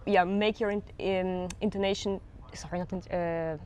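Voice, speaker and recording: A woman's voice: lowish voice, fairly even intonation, moderate pace, a little mellow, smooth. Russian-accented woman coaching pronunciation. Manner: patient, explains with examples. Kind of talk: teaching pronunciation.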